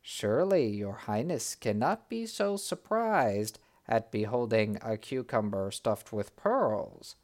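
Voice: mid-range voice, animated, a little mellow, voice pushed down. A reader putting on a wise, solemn talking bird. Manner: measured, solemn.

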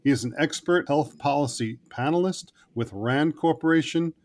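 A man's voice: a little high, normal speed, darkish tone, a little hiss or hum. A man hosting an interview podcast, speaking in a conversational but prepared way. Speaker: podcast host interviewing a guest.

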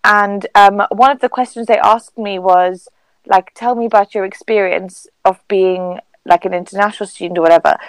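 A young woman from Zambia, a university student, whose accent sounds British with a faint African trace. She tells stories in an animated way and acts out the people she quotes. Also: mid-range voice, no room sound, poor audio. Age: young adult.

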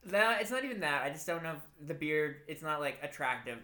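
A man's voice: on the high side, expressive, a little fast, sharp tone. A man co-hosting a casual chat show, giggly and teasing, with many fillers. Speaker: podcast co-host.

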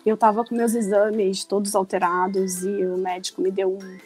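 A woman in her forties from Rio de Janeiro with a Carioca accent, a guest on a casual podcast, chatty and quick to laugh. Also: mid-range voice, level tone, darkish tone, soft background music. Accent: Carioca Brazilian Portuguese. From Rio de Janeiro, Brazil. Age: 40s. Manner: chatty, laughing easily, many restarts.

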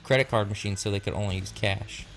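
A young man, co-hosting a casual film podcast, relaxed and enthusiastic, peppering his talk with "like". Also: fairly low pitch, fast talker, faint music behind. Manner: relaxed, enthusiastic, says "like" often. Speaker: podcast co-host. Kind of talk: casual film review chat.

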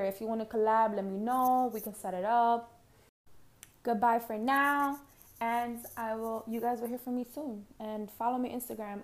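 A woman's voice: fairly high pitch, darkish tone. Brash young woman with a New York accent. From Harlem, New York City. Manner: brash, profane and chatty. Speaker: podcast host. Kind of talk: casual solo podcast monologue. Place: at home on a couch.

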